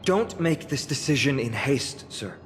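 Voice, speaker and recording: A courteous young warrior hero of a fantasy game, acted by a man, talks in an easygoing, friendly way. The pitch moves in a lively way, with long reverb and a faint noise behind. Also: a little slow, natural voice. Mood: calm, courteous. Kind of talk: video game voice acting.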